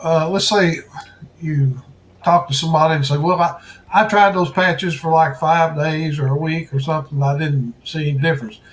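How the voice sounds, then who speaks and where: A man's voice: fairly high pitch, fairly even intonation, moderate pace, a little hiss or hum, low-quality recording. A man interviewing a guest, mostly giving short, encouraging replies and asking brief questions. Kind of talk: casual interview chat.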